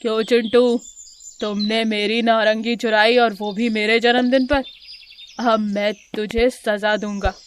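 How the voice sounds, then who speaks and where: A woman's voice: a little high, flat delivery, measured pace, a little hiss or hum, lightly acted. A woman voicing a stern lion king in a children's cartoon, commanding and threatening. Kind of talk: children's animal fable cartoon.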